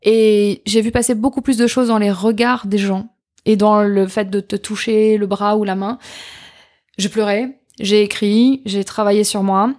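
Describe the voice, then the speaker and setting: A middle-aged woman, telling personal stories in an informal, expressive, candid way as an interview guest. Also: mid-range voice, a little slow. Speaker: podcast guest, coach. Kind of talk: personal storytelling in a conversational interview.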